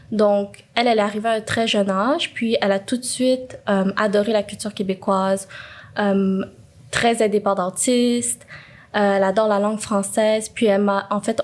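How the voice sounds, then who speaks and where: A young woman from Quebec with a Quebec French accent, speaking earnestly and steadily in a casual conversation. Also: medium pitch. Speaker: guest.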